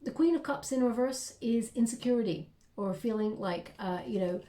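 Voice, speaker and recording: A woman's voice: on the high side, moderate pace, dark tone, echoey room. A woman giving a tarot reading in an encouraging, reassuring way, with frequent fillers. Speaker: tarot reader.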